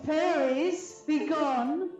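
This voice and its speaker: A woman's voice: high-pitched, extremely slow. A woman playing a doting, regal fairy queen in a Shakespeare radio play, languid and lovestruck. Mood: lovestruck, tender. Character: Titania, fairy queen, adoring, besotted and regal. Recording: echoey dull room recording, music behind.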